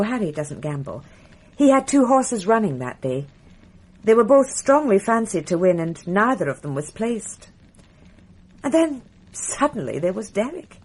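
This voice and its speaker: A confiding married woman in a mystery radio drama speaks softly and wistfully. Her voice is breathy and sits at a middling pitch. She talks in measured, earnest phrases. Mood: reminiscing. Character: Penny, a married woman recalling an affair.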